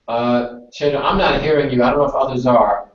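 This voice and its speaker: A man giving a measured, semi-formal advisory talk to students in a deliberate explaining manner. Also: medium pitch, steady tone. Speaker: organization speaker hosting a student conference call. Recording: distant, echoey, muffled conference call.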